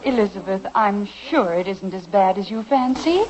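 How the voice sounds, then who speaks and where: A woman acting in a 1950s radio drama as a gentle, reassuring colonial wife with a soothing manner. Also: mid-range voice, expressive, normal speed, a little hiss or hum, lightly acted, rough recording.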